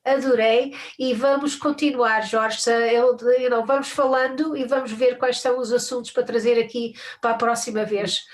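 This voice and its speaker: A woman's voice: fairly high pitch, steady tone, normal speed, hardly any pauses. An older woman hosting a live stream from home over a video call, chatting casually, with frequent restarts and little laughs.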